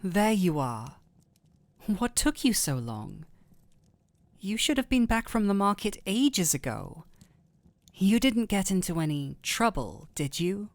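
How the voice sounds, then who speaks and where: A woman voicing a gentle, caring male doctor in an intimate fantasy audio roleplay, tender and softly questioning. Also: mid-range voice, big pitch swings, slow pace, long pauses, no room sound. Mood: fond, teasing.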